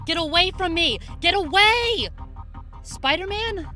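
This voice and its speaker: A woman's voice: extremely high pitch, expressive, unhurried, bright, forceful tone, faint music behind, heavily acted. A woman playing a terrified comic-book character in peril, pleading desperately. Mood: panicked.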